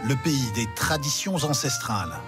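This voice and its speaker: A man narrating a television news travel feature in a measured storytelling delivery. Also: medium pitch, expressive, over music. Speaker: reporter and narrator.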